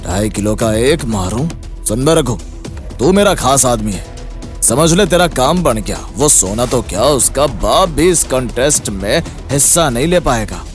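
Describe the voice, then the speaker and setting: Man playing a swaggering village landlord bully, lively pitch. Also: unhurried, faint music behind, close to an everyday voice. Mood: menacing, boastful. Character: brash, bullying village landlord, threatening and boastful. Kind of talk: Hindi moral story cartoon dubbing.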